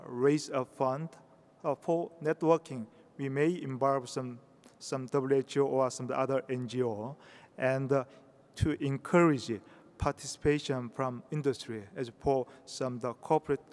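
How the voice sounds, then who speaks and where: A Korean-accented man presents at a conference, pausing often as he searches for words. His voice sits in the middle range and carries a long hall reverb. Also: mid-range voice, darkish tone. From South Korea. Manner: halting, many hesitations. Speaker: conference speaker.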